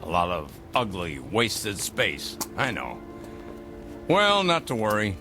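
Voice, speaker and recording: An actor playing an eccentric older gentleman, a secretive mentor with a grandiloquent, fatherly manner in a theatrical radio drama. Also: lowish voice, huge pitch swings, takes it slowly, over music, heavily acted. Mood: genial, knowing. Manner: grandiloquent, avuncular, emphatic. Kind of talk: theatrical audio drama acting.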